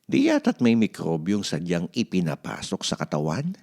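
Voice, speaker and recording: A man's voice: low voice, huge pitch swings, moderate pace, gravelly, darkish tone. A man narrating a scripted children's story as a read-aloud.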